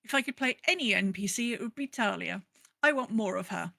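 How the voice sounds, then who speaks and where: A woman's voice: medium pitch, lively intonation, fairly quick, creaky, bright, forceful tone, no room sound, clean studio sound. A woman co-hosting a podcast in a casual, playful, chatty way, sometimes reading out messages. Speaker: podcast co-host. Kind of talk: casual chat.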